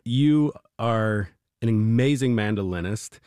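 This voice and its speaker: Męski głos prowadzącego, suche nagranie. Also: male voice, fairly low pitch, a little slow, darkish tone, clear, resonant tone, no room sound, studio quality. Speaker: radio music show host. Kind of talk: interviewing young musicians, warm introductions.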